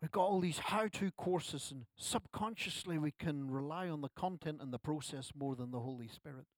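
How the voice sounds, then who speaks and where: A man's voice: on the high side, moderate pace, no room sound. A man, preaching a sermon at a church service in a conversational way with self-deprecating asides. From Ireland. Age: 60s. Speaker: pastor.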